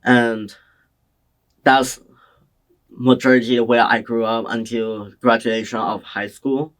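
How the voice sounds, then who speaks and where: Slow, hesitant young man. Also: mid-range voice, level tone, poor audio. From China. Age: young adult. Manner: slow, hesitant, soft-spoken. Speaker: interviewee, community college student. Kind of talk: oral history interview answers.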